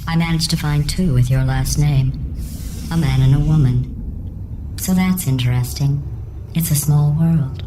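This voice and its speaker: Low, synthetic, passive-aggressive female AI. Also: unhurried, long pauses, roomy sound, noisy background. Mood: deadpan mockery. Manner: deadpan, sarcastic, falsely sweet. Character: female artificial intelligence running tests, passive-aggressive, coldly cruel. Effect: synthetic robotic processing. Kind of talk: video game character dialogue.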